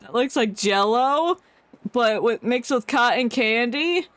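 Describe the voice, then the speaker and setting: A woman's voice: fairly high pitch, animated, takes it slowly, a bit bright, no room sound. A woman streaming a game, giving casual, sarcastic and jokey live commentary. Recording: game music behind the voice.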